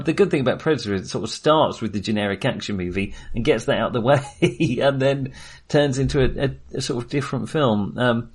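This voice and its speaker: A man talks casually as a film podcast co-host. His intonation is highly animated, rising and dipping often. He hedges and apologises as he goes, picking his way through his opinions.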